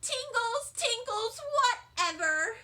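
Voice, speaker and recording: A woman's voice: extremely high pitch, expressive, slow pace, bright, forceful tone, voice pushed up, heavily acted. A woman voicing a vain, showy first-grade girl with an affected, self-admiring manner in a children's storybook. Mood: breezy vanity. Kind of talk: children's storybook read-aloud.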